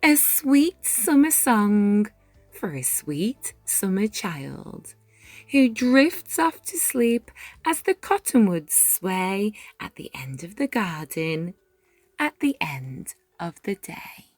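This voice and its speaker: A woman reading a rhyming children's picture book aloud, with measured, dramatic phrasing and deliberate pauses. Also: mid-range voice, sing-song, a little mellow, no room sound. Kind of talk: expressive children's read-aloud narration in rhyme. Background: music.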